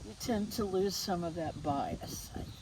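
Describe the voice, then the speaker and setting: A woman's voice: on the low side, moderate pace, noisy background. A woman interviewing someone in a reflective, conversational way, responding as she listens.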